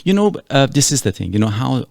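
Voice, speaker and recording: A man's voice: mid-range voice, very animated, a little fast, gravelly, a little mellow, dry recording, studio quality. A middle-aged man from Iran hosting a casual podcast, explaining things earnestly in a rambling way with many fillers. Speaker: podcast host.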